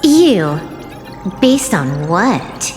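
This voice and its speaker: A cocky young huntress who taunts her enemy with total self-assurance. She takes her time over each line, and a long hall reverb and clear music play behind her. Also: on the low side, very animated, extremely slow, full character voice. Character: cocky young woman hunter, taunting and scheming. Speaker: game heroine. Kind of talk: game cutscene voice acting.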